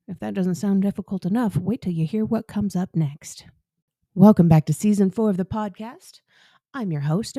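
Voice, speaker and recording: A woman's voice: lowish voice, mellow, no room sound. Chatty woman podcast host, dry sound. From Southern United States. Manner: chatty, laughs often. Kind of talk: podcast interview, friendly chat.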